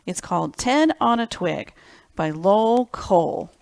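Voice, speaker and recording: A woman reads picture books to young children as a library storytime host. Her pace is slow and her mid-pitched voice is expressive, with simple asides and questions to the listeners. The sound is dry, with very little room echo. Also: creaky.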